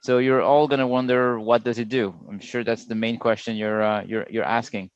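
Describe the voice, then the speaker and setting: French man explaining with relaxed fillers. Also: mid-range voice, normal speed, clean tone, dry recording, muffled recording. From France. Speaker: guest product manager. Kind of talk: casual podcast chat.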